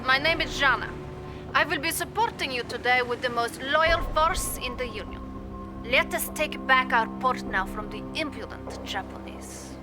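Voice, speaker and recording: An actress playing a proud, loyal young Soviet military commander in a video game. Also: female voice, sits high, moderate pace, over music, close to an everyday voice. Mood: proud, eager. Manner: proud, eager. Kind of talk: video game cutscene acting.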